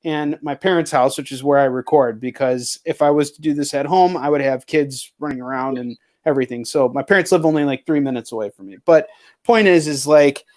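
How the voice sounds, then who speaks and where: A man's voice: medium pitch, fairly quick, darkish tone. A man chatting casually and candidly as a podcast host, rambling and swearing freely. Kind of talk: casual chat.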